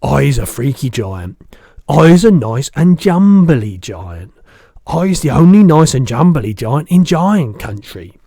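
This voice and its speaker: A man's voice: medium pitch, very animated, unhurried, creaky, mellow, husky, dry recording, studio quality, pitched above the performer's own voice, exaggerated voice. A man voicing a big friendly giant from a storybook, blustering, muddled and good-natured, speaking emphatically in garbled childlike grammar. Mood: proud, emphatic.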